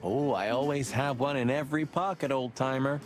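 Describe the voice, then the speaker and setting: Male actor as wisecracking detective sidekick, noir game. Medium pitch, breezy, music behind. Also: moderate pace, natural voice. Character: cocky, loyal male rooster detective sidekick. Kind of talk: film-noir video game acting. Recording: music under the voice.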